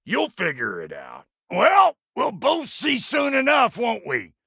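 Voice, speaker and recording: Game casting: gruff dwarf smith, very gravelly and piercingly sharp, folksy, impatient, irreverent. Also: male voice, extremely high pitch, wildly swooping pitch, slow, dry recording, clean studio sound, exaggerated voice. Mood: grouchy, determined. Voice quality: gravelly, raspy. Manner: folksy, irreverent, colloquial grammar. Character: gruff, foul-mouthed, impatient dwarf blacksmith, a man. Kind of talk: video game character dialogue.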